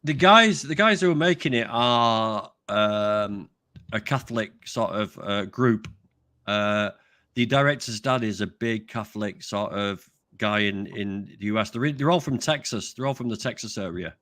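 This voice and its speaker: An older man from England chatting casually as host, rambling and hesitant with many pauses. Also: fairly low pitch, lively intonation, sharp tone, dry recording, clean studio sound. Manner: rambling, hesitant, lots of ums. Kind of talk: casual chat.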